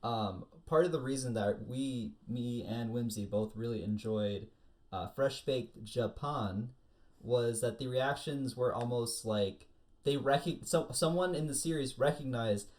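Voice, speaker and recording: A man's voice: medium pitch, unhurried, a little mellow. A man co-hosting a casual discussion, explaining things in a rambling, conversational way. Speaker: podcast co-host. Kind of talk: casual discussion of food anime.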